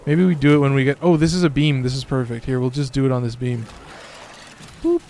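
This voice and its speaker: A man chatting loosely through a game stream in an ordinary middle-pitched voice, a little hoarse and raspy. He swears freely and keeps up easy, rambling talk. A faint hiss of noise sits under the voice. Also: fairly even intonation, fairly quick, a little mellow. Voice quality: slightly raspy. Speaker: gaming streamer. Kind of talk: casual gameplay commentary.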